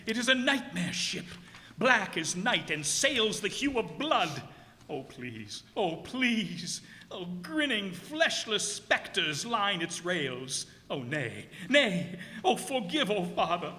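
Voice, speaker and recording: A man's voice: high voice, big pitch swings, takes it slowly, pushed and bright, heavily acted. A man playing a blasphemous, proud ship's captain under a curse, declaiming in archaic, anguished radio drama style. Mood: terrified pleading. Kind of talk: old-time radio drama acting. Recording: echoey hall, stage performance.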